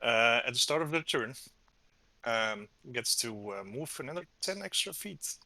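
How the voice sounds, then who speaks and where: A man's voice: medium pitch, animated, a little slow, pushed and bright, no echo. A man playing a tabletop game, explaining his character's new ability with lots of fillers. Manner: explains with many likes and fillers. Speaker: player. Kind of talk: casual tabletop game chat.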